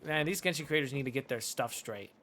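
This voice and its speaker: A man's voice: medium pitch, expressive, fast, pushed and bright. A man in his twenties giving casual, slangy live game commentary in a reactive, jokey manner. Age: 20s. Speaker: game streamer. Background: faint game music.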